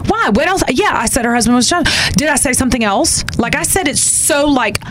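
A woman's voice: sits high, animated, brisk, noisy background. A woman guest in a casual interview, talking bluntly and animatedly, with some swearing, acting out what others said.

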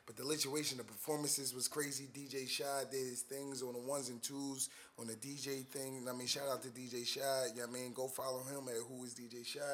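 A young man co-hosting a casual chat show, loud and full of repeated words and fillers. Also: mid-range voice, a little hiss or hum. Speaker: podcast co-host.

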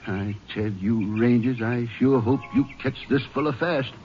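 A man's voice: medium pitch, unhurried, a little hiss or hum, lightly acted. Dull old radio recording, male actor as nervous small-town lawman, rural Southern drawl, rambling, hesitant, folksy. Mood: worried, talkative. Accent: rural American Southern. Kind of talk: 1950s radio drama acting.

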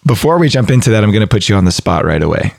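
A man hosting a podcast interview, speaking in a hoarse, raspy voice in a reflective, deliberate way. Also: on the low side, very animated, dry recording. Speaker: podcast host.

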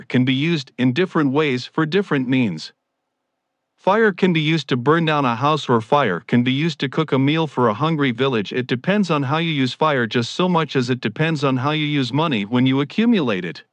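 A man with a mid-range voice gives a scripted motivational monologue. He is emphatic and declarative, almost preaching. The sound is close and very dry, with no room echo. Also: mid-range voice. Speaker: motivational speaker.

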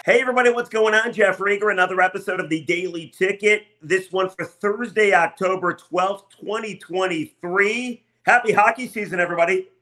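A man's voice: sits high, fairly quick, hardly any pauses, bright, forceful tone. A man hosting a sports podcast, talking and asking questions in an enthusiastic, emphatic way. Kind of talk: upbeat sports talk and interviewing.

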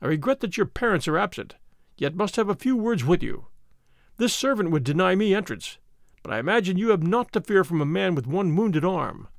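A man's voice: sits high, lively intonation, fairly quick, creaky, no room sound, studio quality. A man voicing an arrogant, pushy army captain in an audiobook, suave and menacing, with a commanding, sneering manner.

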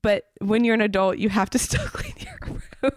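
A woman co-hosting a podcast, chatting casually with a friend, playful and teasing and quick to giggle. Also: mid-range voice, huge pitch swings, no room sound, studio quality. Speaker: podcast co-host.

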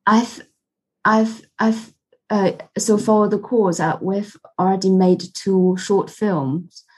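A woman in her twenties from China, Mandarin native, chatting as an interview guest, halting and repeating herself. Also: mid-range voice, fairly even intonation, slightly rough. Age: 20s. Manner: halting, repeats words, laughs often. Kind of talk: casual interview chat. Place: home room with a cat.

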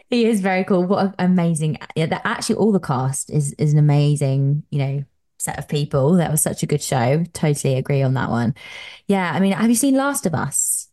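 A woman's voice: sits low, animated, moderate pace, dark tone. A woman hosting a podcast, reading an upbeat scripted introduction and then chatting with her guest. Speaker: podcast host, dietitian.

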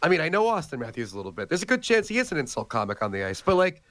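A man with a hoarse voice from a cold, co-hosting a podcast in casual chat with many fillers. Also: fairly high pitch, big pitch swings, racing, fairly bright tone. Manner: chatty, joking, many "like" fillers. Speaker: podcast co-host.

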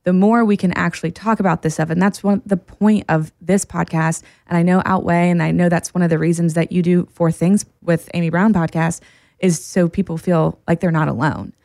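A woman in her thirties with a slightly low voice makes earnest, encouraging points in a podcast chat. She often speeds up when she explains something. The recording is dry and close. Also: fairly even intonation, brisk, vocal fry, a little mellow, no room sound. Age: 30s. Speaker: podcast host. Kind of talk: casual podcast conversation.

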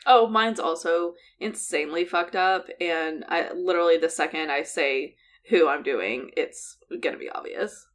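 Chatty American woman with a low voice. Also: unhurried, few pauses. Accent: General American. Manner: laughs easily, chatty. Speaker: podcast co-host. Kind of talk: casual podcast chat.

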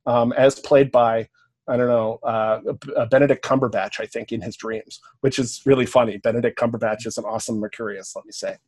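A man's voice: medium pitch, slightly rough. A man with a Canadian accent, speaking as a podcast guest in a casual, lecture-like discussion, thinking aloud, often checking in with "right?" and now and then swearing.

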